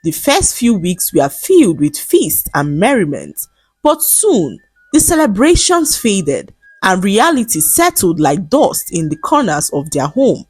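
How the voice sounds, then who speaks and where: Expressive woman narrating a folktale. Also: mid-range voice, measured pace, husky, no echo, faint music behind. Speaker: storyteller.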